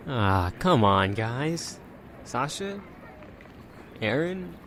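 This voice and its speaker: A man's voice: mid-range voice, big pitch swings, extremely slow, fairly bright tone, slight background noise, heavily acted. A man playing a goofy, mocking teenage boy training as a hero in an audio drama. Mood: teasing.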